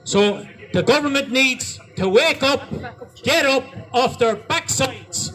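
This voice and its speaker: A man's voice: very high voice, animated, takes it slowly. An Irish man giving a rousing, repetitive speech to a crowd at a street protest. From Ireland. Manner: rousing, repetitive, rising to shouts. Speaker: protest speaker. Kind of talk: public address to a crowd.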